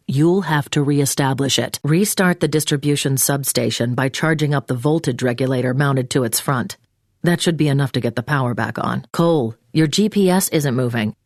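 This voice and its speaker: A woman's voice: sits low, dry recording, close to an everyday voice. Actress doing a businesslike female agent handler for a video game, clipped orders, really dry close sound. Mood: businesslike, commanding. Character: brisk, commanding female intelligence agent giving orders. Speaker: mission handler over the phone. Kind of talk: scripted video game voice acting.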